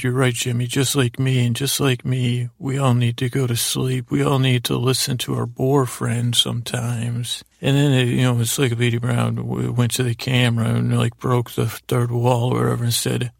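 A man with a heavily creaky, gravelly voice tells a rambling, improvised bedtime story. His pitch stays very flat and even while he wanders through friendly digressions, stopping and restarting. The sound is close and dry. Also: no echo. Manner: meandering, halting, repeats and trails off; mild, friendly, absurdly digressive. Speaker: podcast host telling bedtime stories.

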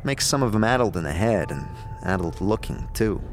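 A man's voice: lowish voice, animated, normal speed, soft background music, lightly acted. A man voicing a wary, wisecracking undead sidekick with slangy, irreverent talk in a fantasy audio drama. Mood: offhand, irreverent.

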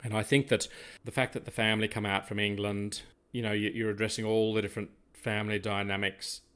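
An older Australian man with a slightly low voice hosts a casual interview. He talks in a measured, discursive manner, wandering through his thoughts conversationally. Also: little pitch movement, a bit bright, clear, resonant tone. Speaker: podcast co-host, interviewer.

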